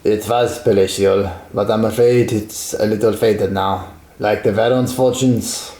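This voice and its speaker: Brief: man voicing a gracious young French vicomte, heavy accent, mellow voice, roomy echo. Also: on the low side, steady tone, a little slow. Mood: courteous. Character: proud impoverished French nobleman, young man. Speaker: Vicomte de Verlon. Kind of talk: amateur radio drama.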